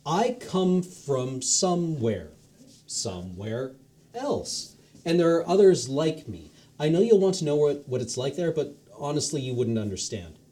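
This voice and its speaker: A man's voice: fairly high pitch, sing-song, unhurried, dark tone. A man reading the lines of a casual, patient male God who talks like a teacher. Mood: offhand. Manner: breezy and offhand, teacherly.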